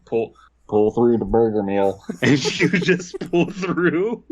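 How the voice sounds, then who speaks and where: A man's voice: medium pitch, very animated, slow pace. A man co-hosting a comedy podcast, talking casually in a rambling, repetitive way. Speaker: podcast co-host. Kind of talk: casual comedic chat and story reading. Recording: dull lo-fi microphone sound.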